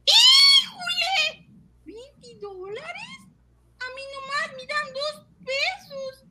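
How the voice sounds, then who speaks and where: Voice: extremely high pitch, sing-song, shouting, very noisy, heavily acted. An actor playing a humble Guatemalan factory worker who wails and exclaims in a satirical radio sketch. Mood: dismayed. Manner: wailing, drawn-out exclamations.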